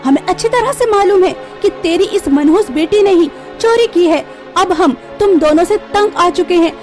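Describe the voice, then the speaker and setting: A woman's voice: extremely high pitch, moderate pace, a little mellow, faint music behind. A woman playing a spiteful, scornful aunt who accuses and bullies in a melodramatic cartoon story. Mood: scornful, angry. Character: spiteful scolding aunt, cruel household bully.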